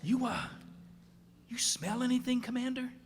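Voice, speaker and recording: An actor playing a nervous, skeptical navy sidekick in a radio play, with hesitant, stammering speech. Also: male voice, extremely high pitch, fairly even intonation, normal speed, vocal fry. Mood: uneasy. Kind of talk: stage radio drama acting. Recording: reverberant hall with faint noise.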